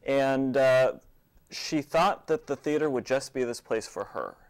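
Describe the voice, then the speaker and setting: Male American interview guest, theater director, medium pitch, explanatory conversational delivery. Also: unhurried, clear, resonant tone. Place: TV studio.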